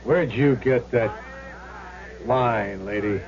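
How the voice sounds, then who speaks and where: A man's voice: mid-range voice, slow, a crowd in the background, natural voice. A man playing a blunt, terse casino boss who speaks in clipped questions, in an old-time radio drama. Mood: probing. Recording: dull 1970s radio recording.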